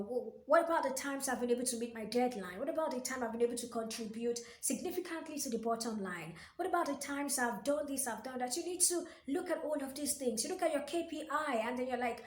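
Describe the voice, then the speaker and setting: High-voiced woman giving earnest career advice. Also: fast talker, roomy sound, a little hiss or hum. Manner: earnest, repeats key phrases for emphasis; addresses the listener directly with questions. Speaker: workplace coach and video host. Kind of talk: motivational advice talk to camera.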